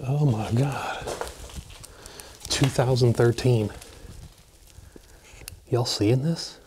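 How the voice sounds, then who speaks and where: A man's voice: mid-range voice, drawn-out words, noisy background. A man, filming as he explores an abandoned hospital and talking to viewers in awe. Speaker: urban explorer vlogger. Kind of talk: narration and on-site exploring commentary. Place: dark abandoned hospital building, indoors.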